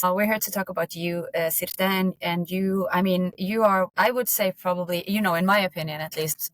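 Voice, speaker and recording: A woman's voice: on the low side, dry recording, rough recording. A woman from Norway co-hosting a podcast, enthusiastic and hedging her phrases with sort of and you know. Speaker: podcast co-host. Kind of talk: casual interview talk.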